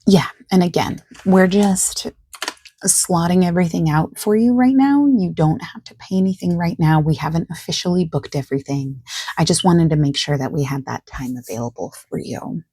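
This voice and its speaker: A woman speaks softly and close in a very dry recording, her husky voice low and mellow. She plays a friendly salesperson in an ASMR roleplay, gently over-explaining in an unhurried, reassuring way. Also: dry recording. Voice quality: soft, husky, creaky. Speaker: ASMR creator playing a friendly phone salesperson. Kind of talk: soft-spoken ASMR roleplay, improvised one-sided phone sales call.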